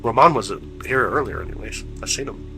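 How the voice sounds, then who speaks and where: A man's voice: medium pitch, lively intonation, fairly quick, vocal fry, pushed and bright, soft background music, poor audio. A man hosting a live telescope stream, chatting casually with viewers in a laid-back, slangy way, his voice stuffed up from congestion. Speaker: livestream host of telescope views.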